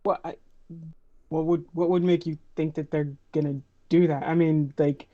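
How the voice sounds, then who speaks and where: A woman's voice: deep voice, steady tone, moderate pace, narrow, tinny audio, natural voice. A woman playing a skeptical, practical starship tech in an improvised role-playing game, questioning things in a dry, casual way.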